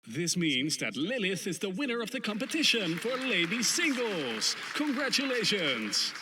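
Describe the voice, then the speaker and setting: An excited contest announcer in a visual novel game. His high, animated voice carries over a clearly audible crowd. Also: normal speed. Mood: celebratory. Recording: loud crowd and noise behind. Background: crowd noise.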